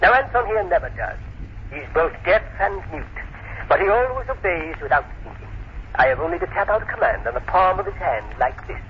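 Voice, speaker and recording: A man's voice: sits high, sing-song, a little fast, vocal fry, heavily acted. A man acting an arrogant, sinister professor in an old radio drama, imperious and sardonic with mock courtesy. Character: arrogant, sinister crippled philosophy professor, male. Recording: dull, very noisy old radio recording.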